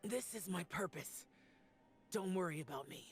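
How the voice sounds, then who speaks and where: Stern loner young warrior in a game, curt n grave, proud, dramatic music behind. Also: male voice, high voice, moderate pace, slightly rough. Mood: guarded. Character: stern, solitary young male immortal warrior. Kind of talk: dramatic video game voice acting.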